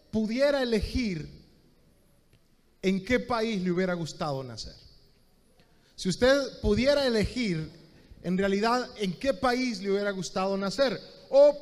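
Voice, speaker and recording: A man's voice: sits high, in a large hall. A Honduran man preaching a sermon as pastor, speaking directly to the listener and repeating key phrases. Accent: Honduran Spanish.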